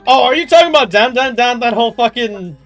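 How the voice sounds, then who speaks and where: High-voiced young man streaming games. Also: fast, faint music behind. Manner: animated, slangy, swears freely. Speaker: game streamer. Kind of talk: casual livestream chat.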